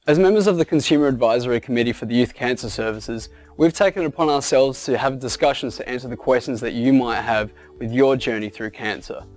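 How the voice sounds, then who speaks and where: A man's voice: mid-range voice, fast talker, hardly any pauses, a little mellow, faint music behind. A man, speaking a scripted introduction to camera as a youth cancer advocate. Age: 20s. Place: studio with painted backdrop. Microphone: lapel.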